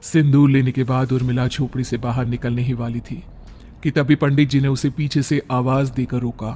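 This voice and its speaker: A man's voice: mid-range voice, little pitch movement, moderate pace, dark tone, slight background noise. A man reading a scripted horror story as a dramatic narrator. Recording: background music under the voice.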